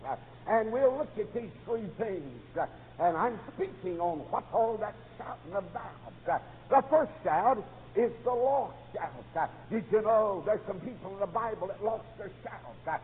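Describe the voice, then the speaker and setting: A dull, lo-fi recording carries a man preaching with long hall reverb. He delivers a fervent, exclamatory sermon in a high voice, breaking his phrases with a repeated "uh.". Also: expressive, moderate pace. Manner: punctuates nearly every phrase with "uh". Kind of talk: fervent camp meeting revival sermon with acted dialogue. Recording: dull lo-fi tape in reverberant hall, faint noise.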